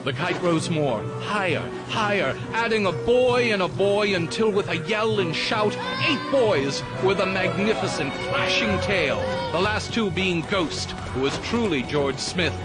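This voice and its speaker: A man's voice: high voice, expressive, measured pace, few pauses. A man narrating a dramatized audiobook in a theatrical, emphatic way, savoring each phrase. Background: prominent music.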